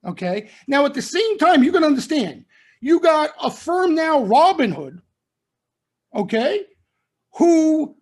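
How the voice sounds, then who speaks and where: A man's voice: extremely high pitch, darkish tone. A middle-aged man hosting a trading broadcast, giving blunt, emphatic market talk in street-wise slang and constantly checking in with "okay?" and "right?". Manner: emphatic, punctuates nearly every point with "okay?" and "right?". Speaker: trading room host. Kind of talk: live market commentary broadcast.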